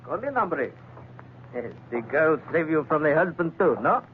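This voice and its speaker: A man's voice: a little high, sing-song, measured pace. A swaggering Spanish pirate captain, menacing under mock courtesy. His Spanish-accented broken English rises and falls freely. It comes through a muffled, phone-thin 1940s radio recording with faint noise. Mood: sly, easygoing. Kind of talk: 1940s radio drama acting.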